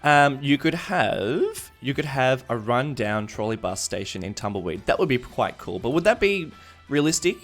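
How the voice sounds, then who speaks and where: A man's voice: medium pitch, big pitch swings, normal speed, few pauses, a bit bright. A man casually commenting on a game live stream, thinking aloud as he chats with viewers. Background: background music.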